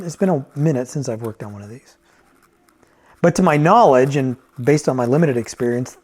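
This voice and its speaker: A man's voice: mid-range voice, a little mellow. A man giving a casual, off-the-cuff product review as a reviewer and repairer, conversational and thinking aloud as he goes.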